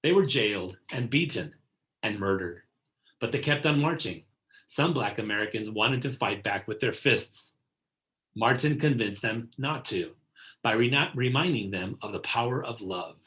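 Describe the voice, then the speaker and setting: A man reads a picture book aloud for children in a medium-pitched voice. His delivery is deliberate and scripted, with key words given clear emphasis. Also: lively intonation, a bit bright. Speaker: read-aloud narrator.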